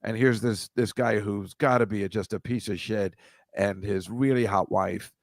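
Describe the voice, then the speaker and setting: A man's voice: on the low side, fairly even intonation, moderate pace, creaky, dry recording, clean studio sound. A man hosting a casual comedy chat, wry and rambling, often laughing at his own jokes. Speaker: host.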